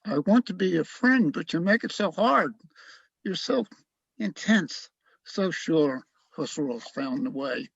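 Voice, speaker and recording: A man's voice: on the high side, expressive, takes it slowly, vocal fry, a bit bright, breathy edge, no room sound, dull audio, full character voice. A man acting in a radio play as a cocky, awkward young philosophy student, emphatic and argumentative. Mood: defensive.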